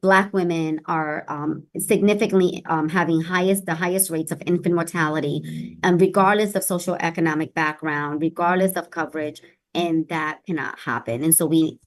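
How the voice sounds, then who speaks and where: Female interview guest, public health official. Slightly low register, earnest, emphatic delivery.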